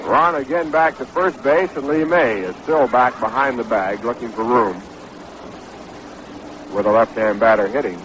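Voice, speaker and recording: A male American baseball announcer gives measured, descriptive play-by-play at a middling pitch. It is an old 1960s radio broadcast from a ballpark, with faint noise and outdoor ambience around the voice. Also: fairly bright tone, poor audio. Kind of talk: live radio sports commentary.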